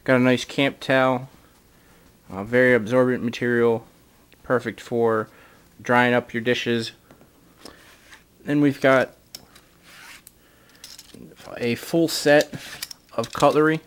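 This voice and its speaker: A man's voice: mid-range voice, steady tone, takes it slowly, pauses often, clean tone. A man giving a casual product walkthrough as a gear reviewer, filler-heavy, recorded indoors on a camera mic. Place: indoors, camera over a carpeted floor.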